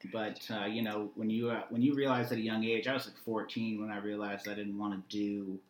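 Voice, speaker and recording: A man in his twenties telling stories casually as a guest, animated and repeating himself for emphasis. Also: lowish voice, fairly quick, a loud crowd. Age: 20s. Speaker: interview guest. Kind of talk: casual conversational storytelling. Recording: echoey room sound.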